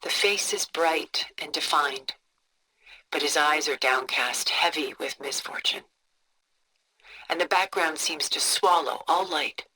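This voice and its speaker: An actress playing a refined, cultured, coolly composed older woman in a video game, speaking in a poised, deliberate, articulate way. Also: low voice, pushed and bright, a little hiss or hum, full character voice, poor audio. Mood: reflective, composed. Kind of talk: scripted video game dialogue, art commentary.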